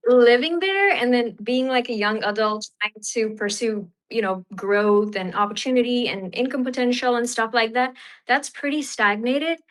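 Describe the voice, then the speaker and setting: A woman's voice: fairly high pitch, normal speed, low-quality recording. A young woman from Fiji, a podcast guest and economist, talking casually with many fillers. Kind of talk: casual interview.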